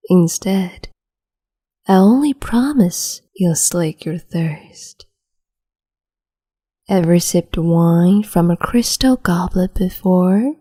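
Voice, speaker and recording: A cruel, capricious young empress, played by a woman coos and whispers in a soft, breathy voice, taking her time over every word. Her tone is low and mellow, teasing and condescending as she dotes on her pet. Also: expressive, heavily acted. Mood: cruel doting. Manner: slow, teasing, condescending, doting. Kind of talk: ASMR roleplay audio.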